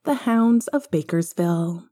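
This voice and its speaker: Woman reading a story out loud, soft dark tone, wry and conversational. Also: on the low side, animated, unhurried. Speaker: podfic reader. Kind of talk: expressive scripted fiction narration.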